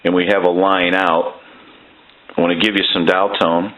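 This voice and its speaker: A man walking through a telephone repair in his workshop, explaining each step plainly and pausing often with "uh". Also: deep voice, level tone, echoey room, dull audio, slight background noise.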